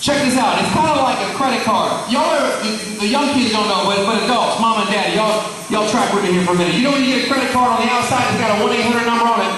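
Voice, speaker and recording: A man's voice: sits high, fast, background noise. A man in his thirties giving a motivational speech as guest speaker, emphatic, repeating key phrases and quizzing the audience. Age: 30s. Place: large banquet hall. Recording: echoey hall from far off.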